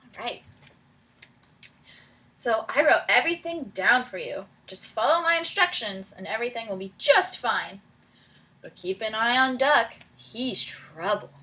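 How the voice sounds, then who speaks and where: A woman reading a picture book aloud to children as their teacher, pausing to prompt them with questions in an encouraging way. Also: on the high side, lively intonation, bright, forceful tone, rough recording. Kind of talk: read-aloud storytelling with questions to young listeners.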